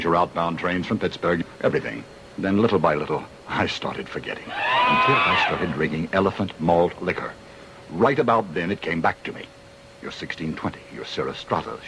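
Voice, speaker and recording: An actor playing a self-assured know-it-all giving an earnest, deadpan comic testimonial in a vintage radio ad. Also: male voice, deep voice, heavily acted. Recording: vintage radio ad, faint noise.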